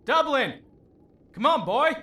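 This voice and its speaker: A man playing an eager, kindly adventurer in an audiobook fantasy story, animated and exclaiming. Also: extremely high pitch, takes it slowly, full character voice. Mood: calling out.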